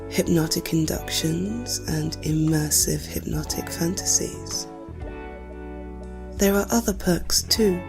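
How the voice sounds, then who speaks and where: A woman with a breathy voice reading a soft scripted promotional outro for her audio work. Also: lowish voice.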